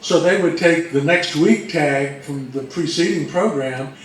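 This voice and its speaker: A man's voice: on the high side, measured pace. A man co-presenting as a researcher, talking casually to an audience. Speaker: co-presenter, old radio researcher. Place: roomy indoor presentation space. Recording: very echoey room, distant mic.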